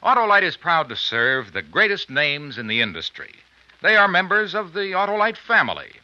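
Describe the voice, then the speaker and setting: Casting: male American 1950s sponsor announcer, very bright forceful tone, animated swings in pitch, emphatic salesman polish. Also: dry recording. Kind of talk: 1950s radio commercial announcing. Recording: dull vintage radio recording.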